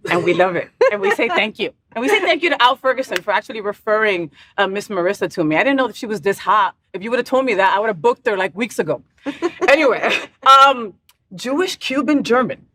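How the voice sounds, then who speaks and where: A woman's voice: medium pitch, animated, fast, fairly bright tone. A middle-aged woman hosting a casual interview, bubbly and teasing, laughing often. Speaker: host.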